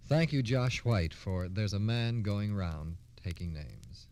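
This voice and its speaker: A man's voice: on the low side, animated, slow pace, darkish tone. An American man presenting a scripted old-time radio show as host, with a formal announcer's delivery.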